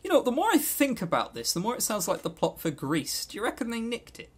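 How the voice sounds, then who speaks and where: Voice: medium pitch, big pitch swings, fast talker, husky. A podcast co-host chatting casually in a jokey, sarcastic and rambling way, prone to going off on tangents. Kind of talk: casual, tangent-prone banter about visual novels.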